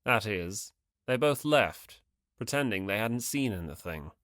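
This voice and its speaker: An expressive fiction narrator with a medium-pitched voice. The reading moves with lively intonation, scripted and story-like, in a close, dry recording. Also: measured pace, no room sound. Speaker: audiobook narrator.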